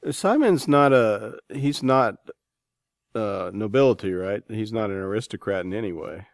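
A man hosting a casual interview, speaking informally and haltingly as he rephrases his questions. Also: on the low side, lively intonation, vocal fry, dry recording, clean studio sound. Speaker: interviewer, podcast host.

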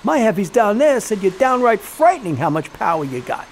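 High-voiced, animated gangster boss. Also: male voice, a little fast, slight background noise. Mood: boastful menace. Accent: American. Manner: streetwise slang, sly insinuation, theatrical. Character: gleeful sweet-toothed gangster crime boss, sly and menacing. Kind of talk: period gangster audio drama acting.

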